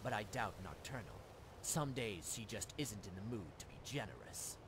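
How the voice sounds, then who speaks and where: A man's voice: fairly low pitch, sing-song, normal speed, background noise. A man playing a courteous, shrewd dealer in stolen goods in a video game. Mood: smooth, businesslike. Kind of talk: video game character dialogue.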